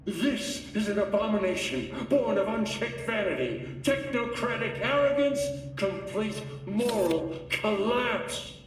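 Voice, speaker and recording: A man's voice: high voice, lively intonation, slow, hardly any pauses. A man playing an outraged, solemn moral denouncer in a video game, speaking like an orator. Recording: very echoey, distant, faint music.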